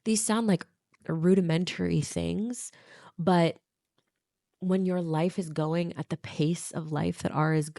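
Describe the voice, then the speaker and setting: A woman's voice: lowish voice, fairly even intonation, slow pace, gravelly, dark tone, no room sound, studio quality. A woman co-hosting a casual podcast chat with her partner, reflective and earnest, sounding nasal from a stuffed-up nose. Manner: reflective, earnest, laughs lightly. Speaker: podcast co-host.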